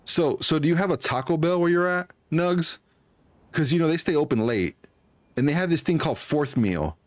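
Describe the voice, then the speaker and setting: A man's voice: on the high side, lively intonation, moderate pace, no room sound. A man livestreaming a game, chatting casually with rambling, teasing commentary. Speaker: game streamer. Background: game music.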